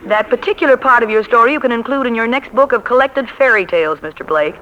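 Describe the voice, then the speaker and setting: An actress playing a proud, dryly sarcastic secretary turned novelist in a 1940s radio romantic comedy. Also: female voice, on the high side, fast, muffled recording, lightly acted. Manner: cool, formal, dryly sarcastic. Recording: vintage 1940s radio recording.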